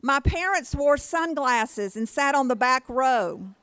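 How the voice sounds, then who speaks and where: A woman's voice: high-pitched, lively intonation, slow pace, a bit bright, clean tone, no room sound, rough recording. A woman giving a motivational conference keynote with personal stories, in a plain-spoken, folksy way. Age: 50s. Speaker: keynote speaker.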